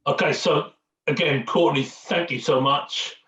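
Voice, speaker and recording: A man's voice: on the high side, steady tone, unhurried, a bit bright. A man hosting an informal fan Q&A, chatty and jokey with rambling, repeated phrases, on a muffled, echoey, distant recording. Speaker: host of a fan Q&A.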